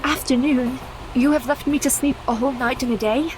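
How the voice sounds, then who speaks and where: A Victorian wife under a dark curse acted by a woman speaks in a high, measured voice. She is brave and formal in radio-play style, with faint ambience behind her. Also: steady tone, noisy background, lightly acted. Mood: wistful.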